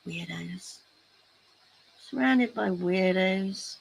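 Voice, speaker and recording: A woman's voice: medium pitch, gravelly, slight background noise, low-quality recording. A woman hosting a casual crafting livestream, chatting playfully and teasing her viewers as she works. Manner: playful, teasing asides to chat. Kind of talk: casual chat while crafting, reading out chat.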